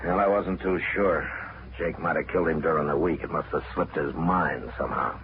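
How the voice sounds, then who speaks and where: An actor playing a calm, firm frontier marshal with a measured, commanding delivery. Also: male voice, extremely low pitch. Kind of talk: 1950s radio drama acting. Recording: tinny, hissy old radio broadcast.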